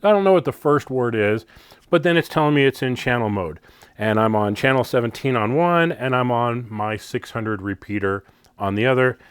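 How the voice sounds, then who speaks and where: An American man reviews gear in a casual, off-the-cuff style. His voice sits at a middle pitch, with very little room sound around it. He is plain-spoken and conversational, leaning on key words for emphasis. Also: normal speed, clean tone, dry recording. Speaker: product reviewer, channel host.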